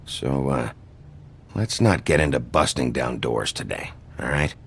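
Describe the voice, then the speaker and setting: Gruff weary lawman, rock-bottom pitch, lively swings, terse, video game drama. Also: unhurried, background noise, exaggerated voice. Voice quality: deep, gravelly. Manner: terse, dry, blunt. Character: weary, gruff male lawman investigating a case.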